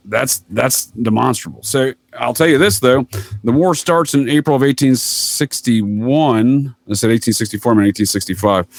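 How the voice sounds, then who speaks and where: A man's voice: medium pitch, dry recording. A man speaking as a podcast guest, laying out theories assertively in conversation. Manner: assertive, addresses listener as "sir". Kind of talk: conspiracy-theory talk in a podcast interview.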